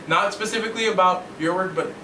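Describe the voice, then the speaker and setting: A man's voice: high voice, quick pace. A teenage young man casually chatting as a student vlogger and interviewer. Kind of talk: casual vlog chat and interview. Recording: roomy, noisy, distant camera mic.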